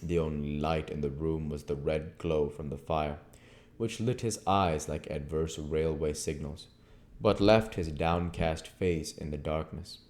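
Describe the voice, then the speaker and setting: A man's voice: very deep voice, steady tone, measured pace, a little mellow. A man reading a novel aloud as an amateur storybook narrator. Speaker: audiobook reader.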